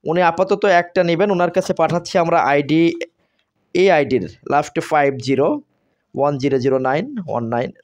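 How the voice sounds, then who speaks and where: A man with a Bangladeshi accent giving unscripted sales talk as a seller, explaining haltingly and repeating himself. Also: fairly high pitch. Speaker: seller presenting products on video.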